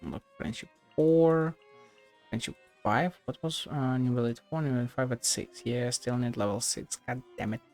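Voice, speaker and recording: A man streaming a game with offhand, blunt commentary and some swearing. His voice has a heavy creak, and game music plays faintly behind him. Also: mid-range voice, normal speed, darkish tone. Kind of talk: casual live game commentary, reading dialogue aloud.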